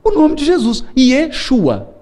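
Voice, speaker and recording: A middle-aged Brazilian man lectures with a high, lively voice that swoops up and down. He is playful, laughs often and acts out the people in his stories. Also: takes it slowly. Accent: Brazilian Portuguese. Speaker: spiritist lecturer. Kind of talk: seminar lecture with storytelling.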